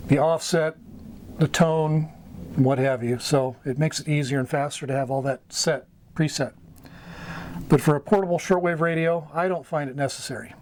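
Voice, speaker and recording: A middle-aged American man giving an informal instructional talk, going item by item in short, halting phrases. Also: fairly high pitch, fairly even intonation, darkish tone, slight background noise. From United States. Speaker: amateur radio mentor, video host. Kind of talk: informal instructional explanation at a whiteboard. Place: small wood-panelled room indoors.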